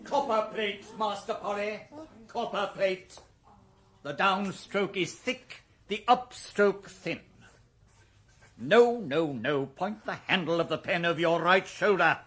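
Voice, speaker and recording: An actor playing a pedantic, sarcastic English schoolmaster with a pompous, precise and withering manner in a radio drama. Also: male voice, sits high, slow pace, fairly bright tone, heavily acted. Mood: fussy instructing. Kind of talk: radio drama classroom dialogue.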